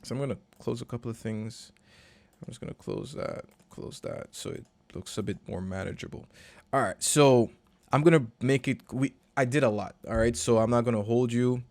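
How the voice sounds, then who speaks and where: A man, a recording and mixing engineer, casually walking through a session in a relaxed, slangy, conversational way. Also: medium pitch, normal speed, gravelly, no echo. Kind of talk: casual screen-share walkthrough.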